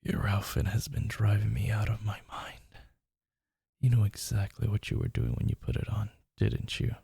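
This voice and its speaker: A man's voice: fairly low pitch, flat delivery, normal speed, vocal fry, dark tone, husky, no echo, in a whisper, studio quality, full character voice. A man playing a smitten, teasing workplace rival turned lover in an intimate romantic audio roleplay, murmuring tenderly and breathily. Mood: seductive, teasing.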